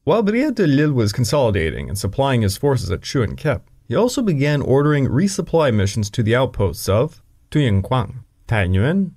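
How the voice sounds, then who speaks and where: A man's voice: medium pitch, very animated, normal speed, a little mellow. A man narrating a scripted history podcast, with dry joking asides. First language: English. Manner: dry asides breaking into the reading.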